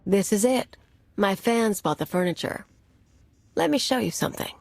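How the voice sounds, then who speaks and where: A woman's voice: mid-range voice, animated, moderate pace, creaky, a little mellow, a little hiss or hum. An actress playing a brash, cynical female anarchist bomber in a video game cutscene, talking in a clipped, tough, sardonic way. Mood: cocky, brisk.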